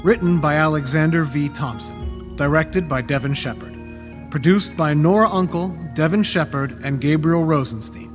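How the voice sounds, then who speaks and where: A man's voice: on the high side, normal speed, music in the background. A man announcer reading scripted credits for a podcast.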